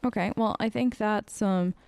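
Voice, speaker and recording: A woman giving chatty, excitable gameplay commentary as a streamer, talking straight to her viewers. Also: mid-range voice, measured pace, clear, resonant tone, no echo. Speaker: let's play streamer.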